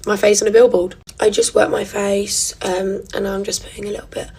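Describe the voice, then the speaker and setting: A young woman, chatting casually while getting ready on her phone camera, giggly and sometimes dropping to a soft near-whisper. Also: medium pitch, level tone, a little fast, breathy edge. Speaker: vlogger. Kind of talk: casual get-ready-with-me chat.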